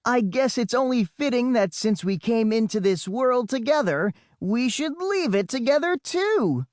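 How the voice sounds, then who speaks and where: A man performs an excitable boy adventurer for a storybook, his pitch leaping far above normal talk. The kid hollers melodramatically, with big swoops, in a dry recording. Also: a little slow, no echo, full character voice. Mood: mock-poetic. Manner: shouting, melodramatic. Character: excitable twelve-ish boy, dramatic adventurous twin.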